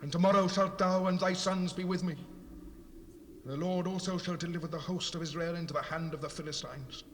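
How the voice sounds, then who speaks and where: A man's voice: sits high, fairly even intonation, brisk, natural voice. A man playing the ghost of a stern old prophet in a dramatized Bible, solemn and reproachful as he declaims. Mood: stern, condemning. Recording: long reverb, noisy 1970s cassette rip.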